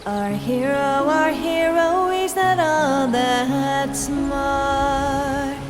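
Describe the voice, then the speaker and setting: A woman singing a slow, comic fantasy ballad. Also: high-pitched, over loud music. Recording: reverberant hall, loud musical accompaniment.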